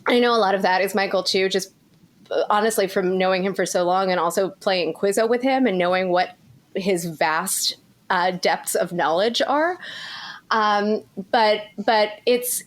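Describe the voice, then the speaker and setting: Woman in casual chat, average pitch. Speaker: podcast cast member.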